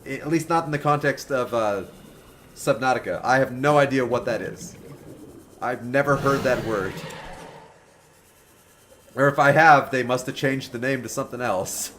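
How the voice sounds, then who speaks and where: A man in his twenties chatting casually with viewers while livestreaming a video game, joking and animated. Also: medium pitch, normal speed, long pauses, a little hiss or hum. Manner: animated, joking, talks to chat by name. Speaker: game streamer.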